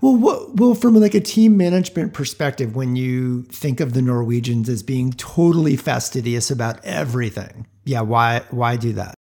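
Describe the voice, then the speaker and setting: A man's voice: medium pitch, normal speed, creaky, hardly any pauses, warm tone. A man hosting a sports podcast, introducing subjects and putting casual questions to his co-commentator. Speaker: podcast host.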